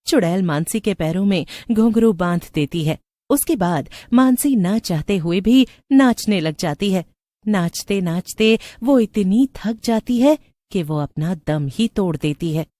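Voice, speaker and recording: A woman's voice: mid-range voice, expressive, unhurried, warm tone, dry recording. A woman narrator reading a scripted story.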